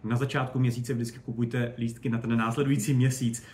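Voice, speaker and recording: A man's voice: medium pitch, level tone, a little mellow, slight background noise. A man giving conversational, enthusiastic and discursive answers as a guest expert on spaceflight.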